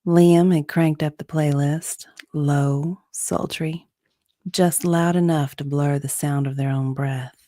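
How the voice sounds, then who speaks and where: A woman's voice: low voice, fairly even intonation, slow, dark tone, no room sound, studio quality. A woman narrating a romance story in a sultry, dramatic style.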